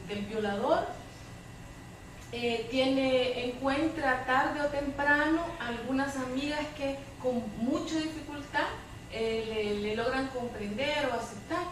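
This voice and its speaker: A Salvadoran woman giving spontaneous commentary as a panelist at a public debate, speaking hesitantly with pauses between phrases. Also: sits high. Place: public debate room, picked up from afar. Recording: distant, very echoey room with clear noise.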